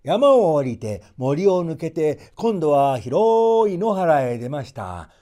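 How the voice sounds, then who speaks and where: A male storyteller reads a folk tale in theatrical style. His voice sits a bit high and moves with very lively rises and falls. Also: slow.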